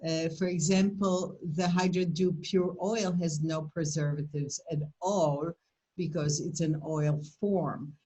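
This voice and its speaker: A woman's voice: sits low, fairly even intonation, unhurried, dark tone, breathy edge, low-quality recording. An older woman from Poland with a Polish accent, presenting and answering questions in a webinar over a video call, speaking in an emphatic, motivational way.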